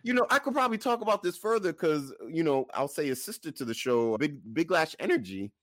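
Animated man, casual podcast banter. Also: on the high side, a little fast, no room sound. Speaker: podcast co-host.

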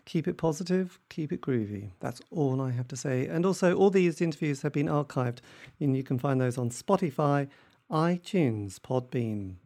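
A man's voice: fairly high pitch, animated, moderate pace, warm tone. An English man hosting a podcast, enthusiastic and jokey as he wraps up an interview. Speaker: podcast host. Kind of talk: interview and sign-off.

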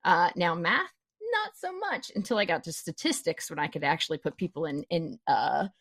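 Lively, chatty woman who laughs often. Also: lowish voice, normal speed, dry recording, studio quality. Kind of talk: casual chat.

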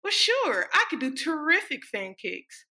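A woman's voice: sits high, very animated, measured pace, pushed and bright, exaggerated voice. A woman playing a brash, wisecracking young dancer from a small town in a sassy, sardonic stage monologue. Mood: bitter, defiant. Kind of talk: dramatic stage monologue reading.

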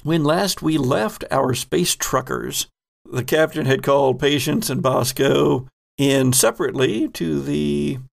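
A man with a gravelly, creaky voice hosts a tabletop roleplaying podcast. He talks in an easygoing, casual way, moving the group along from chat into play. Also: fairly high pitch, unhurried. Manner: easygoing, moderating the plugs. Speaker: game master and host.

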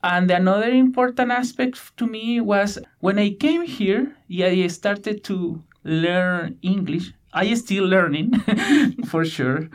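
A man in his thirties from Chile with a Chilean Spanish accent, answering interview questions in halting English with many fillers and restarts. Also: high voice. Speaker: guest, PhD student.

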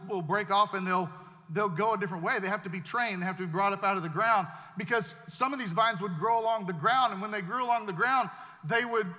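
High, bright-voiced pastor preaching in a hall. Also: male voice. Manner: earnest preaching with repeated words and restarts. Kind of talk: sermon.

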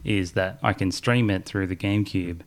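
A man's voice: sits low, steady tone, normal speed, a little hiss or hum. A man co-hosting a podcast, rambling through casual chat about games and chuckling often. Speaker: podcast co-host.

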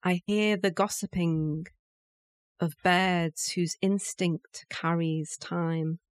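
A woman reciting a poem in a measured manner, pausing between short phrases. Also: lowish voice, fairly even intonation, dry recording. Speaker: poetry reader. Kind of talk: poetry recitation.

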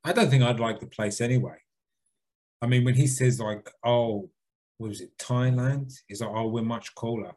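A man's voice: mid-range voice, normal speed, a little mellow. A man co-hosting a podcast, reading out a listener email and then rambling, hedging and swearing freely in casual chat. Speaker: podcast co-host.

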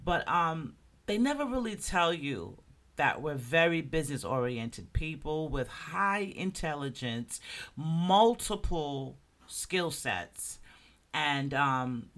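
A man's voice: fairly high pitch, big pitch swings, slow pace, fairly bright tone. A man hosting a solo podcast, talking in a loose, conversational way and often checking in with his listeners. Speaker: podcast host. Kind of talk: casual solo commentary.

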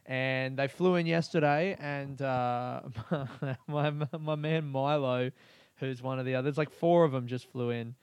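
A man's voice: medium pitch, a little slow, smooth, no echo. A man hosting a podcast, rambling through a casual comedic monologue and swearing freely while he laughs at his own jokes. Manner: crude, swears a lot, laughs often; animated, rambling storytelling. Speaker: podcast host.

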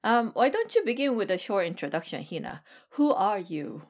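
A woman's voice: mid-range voice, big pitch swings, moderate pace. A woman, co-hosting a podcast in casual chat, filling her talk with "like" and "um". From Korea. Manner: chatty, lots of "like" and "um". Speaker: podcast co-host, ESL teacher.

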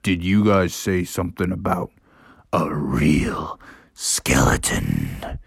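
A storyteller voicing a grave, mysterious father bear in a low, hushed whisper. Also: animated, takes it slowly, vocal fry, husky, dry recording, clean studio sound, heavily acted. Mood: ominous.

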